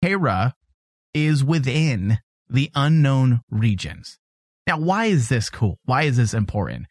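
A man's voice: medium pitch, sing-song, slow, no echo, clean studio sound. A man co-hosting a casual podcast, chatting animatedly and teasing his co-host, laughing easily.

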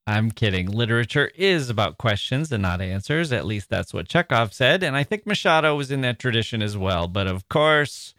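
A man's voice: medium pitch, expressive, normal speed, few pauses, fairly bright tone, no echo, clean studio sound. A man hosting a literature podcast, talking listeners through his notes in a chatty, wry, self-mocking way with asides. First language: English. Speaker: podcast host. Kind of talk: scripted podcast narration.

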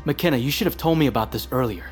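An actor playing a guarded, reluctant drug researcher in a naturalistic audio drama. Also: male voice, medium pitch, fast, soft background music, lightly acted. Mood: guarded, refusing.

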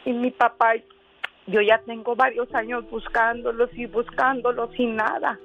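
A woman from El Paso, Texas, calling into a radio show and speaking in a hesitant, halting way. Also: on the high side, flat delivery. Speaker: caller. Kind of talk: phone call to a radio show. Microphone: telephone. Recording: tinny phone line, faint music.

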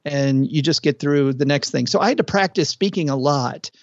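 A middle-aged man co-hosting a podcast, chatting casually and at length, with a relaxed, talkative manner and the odd pause. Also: fairly high pitch, no room sound. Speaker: podcast co-host. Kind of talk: casual conversational chat. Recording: dull, lo-fi dry sound.

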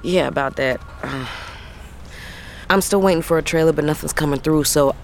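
An actress playing a stressed, sharp-tongued, weary female long-haul trucker in a naturalistic audio drama. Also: deep voice, measured pace, a little hiss or hum. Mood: exasperated. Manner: blunt, exasperated.

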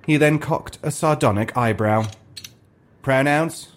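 A man narrating a scripted comic fantasy story in a dry, deliberate way. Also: medium pitch, lively intonation, slight background noise.

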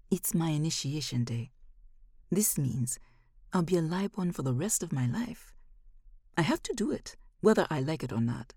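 A woman narrating a first-person literary short story in a measured, expressive way. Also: low voice, slightly rough, no room sound, studio quality.

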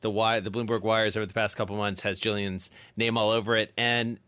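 Lower-voiced male radio host. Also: flat delivery, fairly quick, a bit bright, smooth, no room sound. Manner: wordy, playful, with hesitations. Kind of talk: radio business talk. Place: radio studio.

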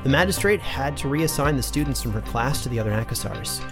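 An actor playing a chatty, thoughtful teacher recording an in-character audio journal for a fantasy drama. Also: male voice, mid-range voice, lively intonation, fast talker, over music, natural voice. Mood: chatty, reflective. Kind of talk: conversational in-character monologue and credits.

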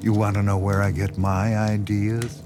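An actor playing a sinister, obsessive horror writer with a coaxing, intense, condescending manner in a radio drama. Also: male voice, fairly low pitch, little pitch movement, moderate pace, natural voice. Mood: smug, persuasive. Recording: dramatic music behind the voice.

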